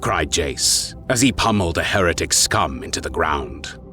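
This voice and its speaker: A man's voice: low-pitched, expressive, moderate pace, bright, forceful tone. A man reading scripted, dramatic narration for an audio story. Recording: music behind the voice.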